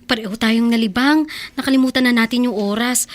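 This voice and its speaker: A woman's voice: fairly high pitch, steady tone, fairly quick, close to an everyday voice. An actress playing a teenage schoolgirl, protesting in natural radio drama dialogue. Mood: playful refusal. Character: flustered teasing teenage schoolgirl.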